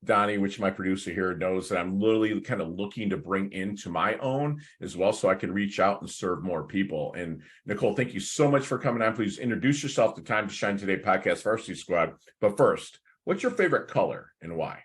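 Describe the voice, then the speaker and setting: A man hosting a podcast, excitable and rambling, stumbling over his words as he asks questions. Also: low-pitched, a little fast, hardly any pauses. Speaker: podcast host. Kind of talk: casual interview.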